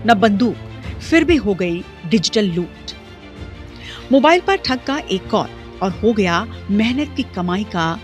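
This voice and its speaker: A woman's voice: mid-range voice, a bit bright. A woman reading a scripted news voice-over with punchy, dramatic phrasing. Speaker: news report narrator. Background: faint music.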